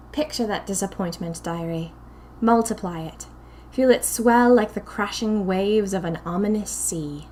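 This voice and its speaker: A woman narrating a first-person story for a fiction podcast, deliberate and confiding, as if to a diary. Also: mid-range voice, darkish tone, a little hiss or hum.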